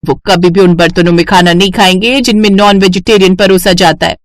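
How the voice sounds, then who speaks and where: A woman's voice: on the low side, fairly even intonation, a little fast, no echo, clean studio sound, close to an everyday voice. A woman voicing a vain, nagging young village wife in a story cartoon, whining and insistent. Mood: matter-of-fact. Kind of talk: animated Hindi moral-story cartoon voice acting.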